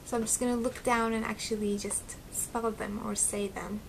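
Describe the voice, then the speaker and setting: A woman's voice: a little high, little pitch movement, normal speed, creaky, noisy background. Bubbly young woman, slight Arabic accent. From Syria. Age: young adult. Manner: chatty and giggly, with frequent ums. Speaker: beauty vlogger. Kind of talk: casual chat to camera. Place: small bedroom at home, indoors. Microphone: close webcam, seated facing camera.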